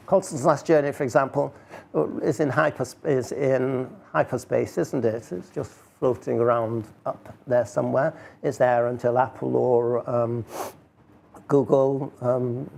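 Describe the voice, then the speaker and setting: A man gives an informal public talk in a mid-pitched voice with a lot of gravelly creak. He is wry and rhetorical, thinking aloud as he explains things to his listeners. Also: dark tone. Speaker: speaker giving a talk. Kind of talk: informal talk with audience questions.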